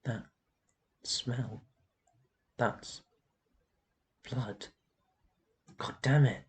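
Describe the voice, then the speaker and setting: A man's voice: medium pitch, fairly even intonation, extremely slow, noisy background. A man playing a brooding, protective vampire in an intense fantasy ASMR roleplay. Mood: alarmed. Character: brooding protective male vampire guarding his human flock.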